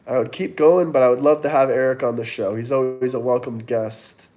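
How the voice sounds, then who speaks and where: A man's voice: medium pitch, steady tone, fairly quick, darkish tone. A man in his twenties from Florida, hosting a casual livestream and answering viewer chat as he reads it out. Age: 20s. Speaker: livestream host.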